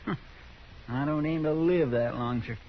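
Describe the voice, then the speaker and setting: Higher male voice, actor as rambling helper, plaintive, deferential, muffled vintage radio with faint noise. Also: normal speed, lightly acted. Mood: grumbling, earnest. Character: folksy, grumbling, forgetful marshal's helper, eager to please. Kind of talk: 1950s radio Western acting.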